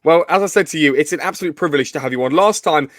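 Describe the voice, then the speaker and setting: A man's voice: fairly high pitch, very fast, dry recording, clean studio sound. A man hosting an interview show, talkative, asking long framing questions.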